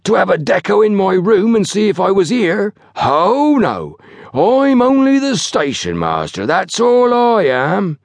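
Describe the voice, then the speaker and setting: A man's voice: medium pitch, very animated, normal speed, slightly rough, no echo, voice pushed up. A man voicing a large, pompous, easily bewildered rustic station master in an audiobook story, with lofty dignity and uneducated grammar. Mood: heavily sarcastic. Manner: lofty, sarcastic dignity with dropped grammar and misplaced aitches.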